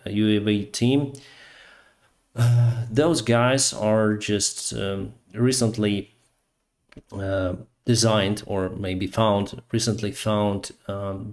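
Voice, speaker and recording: A Ukrainian-accented man talks slowly and unhurriedly as host of a casual live stream. His voice sits fairly low. He gropes for words in English, a language he learned later, and leaves many hesitant "um"s and "uh"s. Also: a little mellow.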